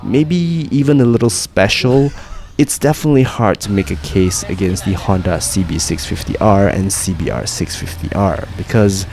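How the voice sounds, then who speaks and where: A man's voice: sits low, moderate pace, vocal fry, darkish tone, slight background noise. A man with a Malaysian English accent reading a scripted, enthusiastic motorcycle review voice-over. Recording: voice-over over music.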